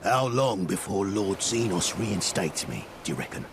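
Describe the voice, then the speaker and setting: A man playing a surly, impatient soldier in a video game, grumbling gruffly about reluctant service. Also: sits low, expressive, normal speed. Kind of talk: dramatic video game voice acting. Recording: clear background noise.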